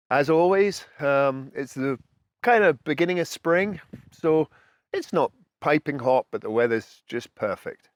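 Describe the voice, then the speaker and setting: A man's voice: a little high, dry recording, studio quality. A middle-aged man with a Scottish accent, giving a casual, explanatory talk to camera as a channel host. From Scotland. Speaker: host of a food and travel channel. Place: outdoors on a house balcony.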